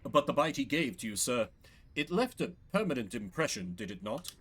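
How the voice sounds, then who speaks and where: A man puts on a loyal minstrel from a fantasy game. He is courtly and deferential, speaking politely and formally with a lively, moving pitch. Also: normal speed, slightly rough. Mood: respectful.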